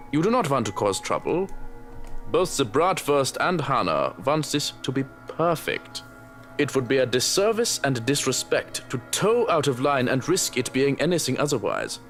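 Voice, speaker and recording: A man's voice: mid-range voice, very animated, normal speed, fairly bright tone, faint music behind. Stern, swooping male servant. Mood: stern, exasperated. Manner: formal, scolding. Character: stern, fussy male servant, formal and begrudging. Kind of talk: visual novel voice acting.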